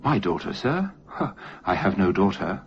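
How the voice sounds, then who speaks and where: Man putting on a plain-spoken countrywoman, animated. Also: deep voice, normal speed. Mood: amused, dismissive. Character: puzzled, amused countrywoman, mother-aged, deferential to gentlemen. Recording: dull lo-fi recording with faint noise.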